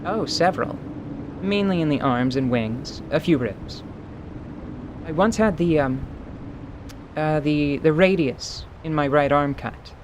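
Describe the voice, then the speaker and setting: A man's voice: a little high, close to an everyday voice. Man voicing a dry, stoic battle-worn mercenary, noisy background. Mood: dry, matter-of-fact. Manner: dry, formal, deliberate speech. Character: battle-worn winged mercenary, stoic, wry and matter-of-fact.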